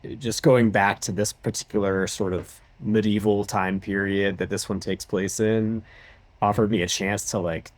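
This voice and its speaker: A man's voice: lowish voice, steady tone, moderate pace, no room sound. A man co-hosting a podcast, speaking in a casual, conversational style. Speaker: podcast co-host and composer. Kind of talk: casual chat.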